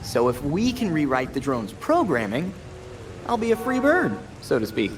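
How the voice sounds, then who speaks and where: A man's voice: fairly high pitch, wildly swooping pitch, moderate pace. A man playing an eager, wry young superhero sidekick in a video game, with an earnest, boyish manner. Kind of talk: cinematic video game acting.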